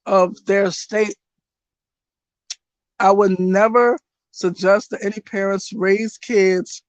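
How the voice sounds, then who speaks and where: Woman livestreamer and spiritual reader, mid pitch, hoarse, blunt, swears freely. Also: no room sound, rough recording. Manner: blunt, profane and animated, talks to her chat. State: losing her voice. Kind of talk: casual livestream monologue.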